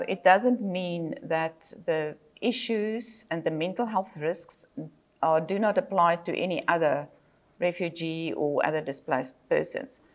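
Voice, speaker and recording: Older South African woman, Afrikaans native; measured lecturer, fillers and restarts, slightly low voice. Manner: measured, with frequent fillers and self-corrections. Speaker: psychologist and lecturer. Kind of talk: presentation lecture.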